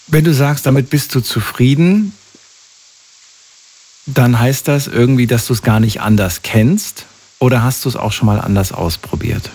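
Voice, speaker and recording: A man's voice: mid-range voice, a little slow, a little mellow, husky. A man hosting a radio phone-in, chatting with callers in a casual, informal and encouraging way. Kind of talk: casual, unscripted call-in moderation.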